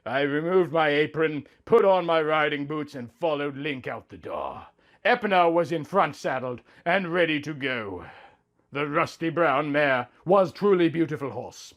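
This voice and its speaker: A man's voice: on the high side, expressive, unhurried, fairly bright tone. A man voicing a lovestruck young woman who narrates her honeymoon as a swooning, melodramatic romance story. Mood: dreamy, contented. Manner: swooning, overwrought. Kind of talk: melodramatic romantic fan fiction reading.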